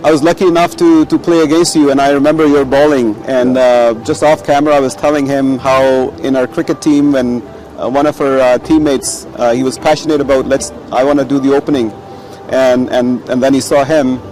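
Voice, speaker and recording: A man from Pakistan hosting a casual cricket chat, halting with frequent fillers and restarts. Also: medium pitch, steady tone, darkish tone, rough recording. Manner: hesitant, many fillers and restarts. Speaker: sports show host.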